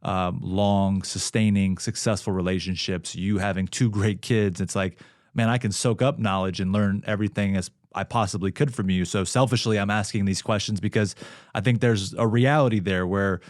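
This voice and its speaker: A man hosting an interview. He asks long, reflective questions and pauses with fillers. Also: fairly low pitch, steady tone, no echo, clean studio sound. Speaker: podcast host. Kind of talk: casual interview.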